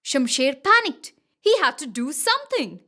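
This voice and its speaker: A woman reading scripted children's stories as an expressive, dramatic storyteller. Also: high-pitched, normal speed. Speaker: storyteller, narrator.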